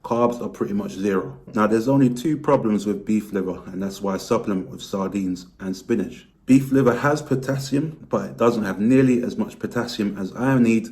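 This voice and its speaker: Guy vlogging with his voice shot, hoarse and rough, lowish pitch, chatty and candid. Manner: candid, explanatory, lively pitch rises. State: hoarse, lost voice. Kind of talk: casual talk to camera.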